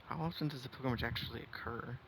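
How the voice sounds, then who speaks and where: Man with gravelly fry, casual gameplay chatter. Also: mid-range voice, fast talker, noisy background. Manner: rambling, thinking aloud. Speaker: streamer playing a video game.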